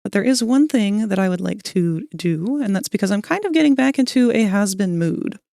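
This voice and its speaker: A woman's voice: medium pitch, lively intonation, moderate pace, mellow, no room sound, studio quality. Chatty woman streamer with a scratchy voice. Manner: chatty, greets viewers, laughs and clears throat often. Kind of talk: casual stream chat. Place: cold room at home.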